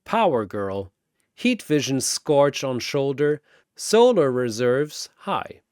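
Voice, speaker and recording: Guy narrating an epic fight story, really animated pitch, takes his time, dry close sound. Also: takes it slowly, clean tone, no room sound. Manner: theatrical, emphatic. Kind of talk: dramatic scripted battle narration.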